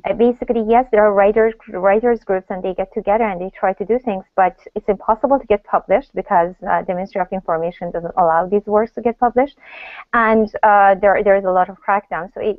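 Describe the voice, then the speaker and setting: A woman's voice: mid-range voice, no room sound. Middle-aged woman moderating over a tinny video call. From Iran. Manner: brisk, polite, wraps up firmly. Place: home room, indoors. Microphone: laptop webcam video call. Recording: thin phone-like video call audio.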